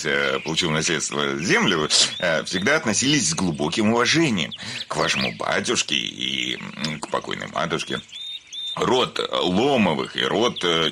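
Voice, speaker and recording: An actor playing a nervous, stubborn landowner suitor in a radio comedy, stammering and fussily polite. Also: male voice, deep voice, background noise. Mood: nervous, formal. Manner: stammering, hesitant, ceremoniously formal. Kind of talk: theatrical radio comedy acting.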